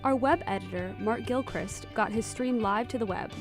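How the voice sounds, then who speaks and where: A woman's voice: fairly high pitch, moderate pace, music in the background. A woman co-hosting a student radio news show, presenting in a friendly, upbeat way. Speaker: co-host.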